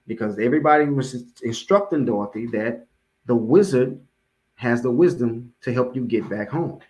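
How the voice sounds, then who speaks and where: A man's voice: mid-range voice, moderate pace, darkish tone, poor audio. Male American preacher, mid-range voice, informal motivational address, emphatic repetition, conversational with listeners. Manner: emphatic repetition of key phrases. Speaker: religious speaker giving a public address.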